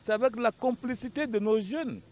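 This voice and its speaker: A man's voice: extremely high pitch, normal speed, darkish tone. A man with a Malian accent giving an unscripted account as a panel guest, emphatic and repeating his phrases.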